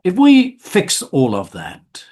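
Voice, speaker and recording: A man hosting a podcast interview, asking long questions and often pausing to search for words. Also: high voice, wildly swooping pitch, a little mellow. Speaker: podcast host.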